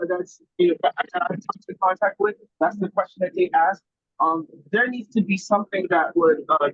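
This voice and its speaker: High-voiced husky man on a muffled line. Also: dry recording. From Germany. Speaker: guest food grower. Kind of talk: casual podcast conversation.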